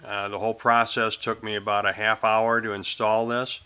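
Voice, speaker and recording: A man's voice: fairly low pitch, level tone, normal speed, bright, forceful tone, smooth. A man presenting his own how-to sailing video, narrating in a casual, explanatory way.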